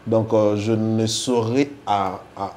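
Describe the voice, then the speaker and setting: A man's voice: on the low side, fairly even intonation, slow, darkish tone. A man with a Congolese accent speaking as a casual interview guest, emphatic and given to repeating himself. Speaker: interview guest, music fan spokesman. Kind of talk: casual interview, mixing two languages.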